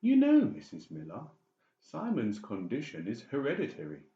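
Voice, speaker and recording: A man's voice: medium pitch, huge pitch swings, moderate pace, mellow, roomy sound, highs cut off, pitched above the performer's own voice. A man voicing a falsely sweet, scheming psychiatrist with exaggerated politeness in a dramatised audiobook. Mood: smooth, polite.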